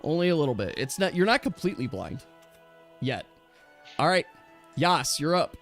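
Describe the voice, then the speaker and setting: A man with a fairly high voice runs a tabletop role-playing game in a casual, chatty way. He explains rules and turns as game master, with faint music behind him. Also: sing-song, normal speed, fairly bright tone.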